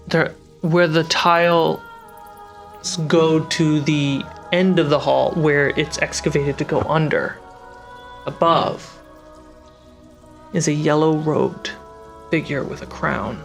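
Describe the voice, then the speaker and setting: Game master for a fantasy tabletop session; slow, halting descriptive delivery, music and rain underneath. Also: medium pitch, vocal fry. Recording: music and rain sounds behind. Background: music, rain effects.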